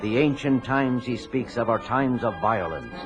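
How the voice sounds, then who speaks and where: Portentous, lively-pitched male announcer. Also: unhurried. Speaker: announcer narrator. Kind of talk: dramatic scripted introduction, audio drama. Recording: dull lo-fi sound under brassy music.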